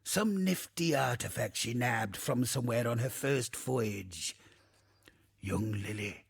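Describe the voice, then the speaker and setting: An actor playing a bawdy, wistful old ghost in a video game, theatrical and drawling, with a gravelly, rasping voice. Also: male voice, mid-range voice, dry recording, clean studio sound, heavily acted. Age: older. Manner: theatrical, salty archaic pirate talk, swooping and drawling. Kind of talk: video game character monologue.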